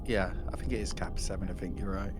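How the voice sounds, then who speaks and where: A man's voice: sits low, steady tone, fast talker, faint music behind. A man chatting casually as a player in a tabletop roleplaying game show. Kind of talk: casual banter.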